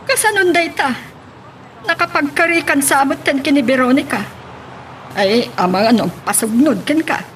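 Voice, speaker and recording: A woman's voice: high voice, normal speed, a little hiss or hum, close to an everyday voice. A woman playing a concerned, admonishing mother in an Ilocano radio drama, with a Filipino accent.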